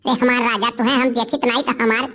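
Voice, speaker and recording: A coy village woman in love, with a high voice and an Awadhi accent , acted by a woman. She is sentimental and grumbling, a sweetheart from a comedy cartoon. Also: flat delivery, fairly quick, fairly bright tone. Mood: flirtatious. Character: coquettish, complaining village woman, a secret sweetheart. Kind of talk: comic cartoon dialogue.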